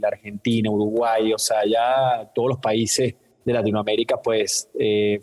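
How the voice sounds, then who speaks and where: Husky Venezuelan man in a reverberant room. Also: on the low side, darkish tone. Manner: thoughtful, with many fillers and restarts. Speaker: guest. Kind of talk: conversational interview answers.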